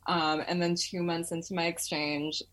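Young woman guest, low pitched, chatty and loose, lots of "like" and "um". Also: little pitch movement, moderate pace, a bit bright. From Malaysia. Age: 20s. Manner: chatty, filler-heavy, laughs often. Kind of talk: casual interview chat.

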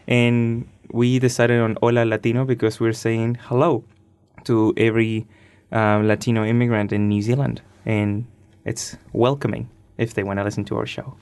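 A nineteen-year-old man from Chile giving casual, thoughtful interview answers as a radio guest, pausing hesitantly as he goes. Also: mid-range voice, level tone, darkish tone, smooth.

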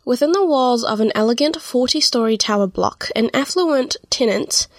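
A woman hosting a podcast in a rambling, chatty way, her voice a little croaky and nasal from being unwell. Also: a little high, animated, normal speed, no room sound, clean studio sound. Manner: rambling, chatty, frequent ums and apologies. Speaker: podcast host. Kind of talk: casual solo podcast chat.

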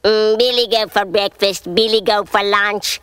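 A woman's voice: mid-range voice, steady tone, a bit bright, smooth, no room sound, clean studio sound, voice pushed up, lightly acted. A woman voicing a wicked, hungry male troll in a storybook tale, drawing out the words with menace. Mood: hungry, gloating. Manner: slow, drawn-out, menacing delivery.

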